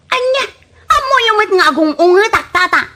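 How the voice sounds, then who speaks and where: A woman's voice: extremely high pitch, expressive, moderate pace, bright, forceful tone, full character voice. A woman playing a touchy, hot-tempered wife who nags and scolds in an Ilocano comedy radio drama, with an Ilocano accent. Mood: angry. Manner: nagging, indignant.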